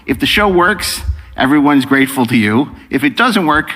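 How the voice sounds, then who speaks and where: A man's voice: on the high side, animated, normal speed, bright, forceful tone. A man hosting a panel interview, chatty and discursive as he circles casually toward his questions. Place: live panel in a large hall. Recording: echoey large hall through PA.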